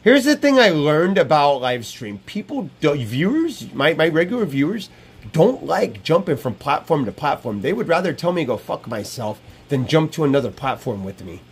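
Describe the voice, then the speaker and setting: A man's voice: a little high, very animated, moderate pace, slightly rough. Brash, profane man live streaming. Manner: brash, profane, repeats himself. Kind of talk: rambling live stream chat. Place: small indoor room. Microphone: wired earbud headset mic.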